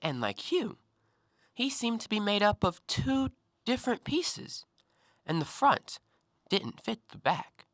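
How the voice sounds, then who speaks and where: An actor in an audio play, playing a talkative, self-assured intellectual who probes and needles with articulate, deliberate theatrical phrasing. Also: male voice, high-pitched, big pitch swings, a bit bright, dry recording, exaggerated voice. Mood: reflective storytelling. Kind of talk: stage-style audio play monologue.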